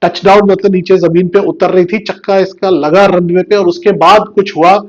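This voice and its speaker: Male explainer host, fairly high voice, teacherly delivery, slightly muffled recording. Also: steady tone, normal speed. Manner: didactic, asks and answers own questions, repeats key words. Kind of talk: informal news explainer.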